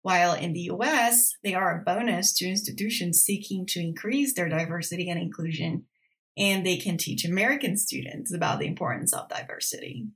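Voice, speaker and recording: A woman from Brazil hosting a podcast, reading her scripted narration in a measured, earnest way. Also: lowish voice. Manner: measured, earnest, reads with steady emphasis. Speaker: podcast host. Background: faint music.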